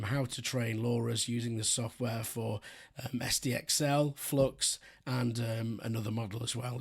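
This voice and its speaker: A man with a sore, hoarse throat and a raspy voice, talking through a software walkthrough in a relaxed, rambling way with frequent ums. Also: mid-range voice. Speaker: software tutorial host. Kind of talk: screen-recorded software walkthrough.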